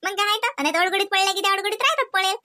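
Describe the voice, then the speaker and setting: A woman's voice: extremely high pitch, racing, sharp tone. A woman voicing fussy, playful housewives in a Marathi comedy cartoon, with a Varhadi accent and exclamatory, chatty delivery. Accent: Varhadi Marathi from Vidarbha.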